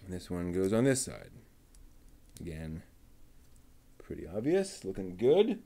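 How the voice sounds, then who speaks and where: A man giving casual running commentary while he builds a model kit, talking slowly and methodically and half to himself. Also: deep voice, wildly swooping pitch, warm tone. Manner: muttering to himself, slow and methodical.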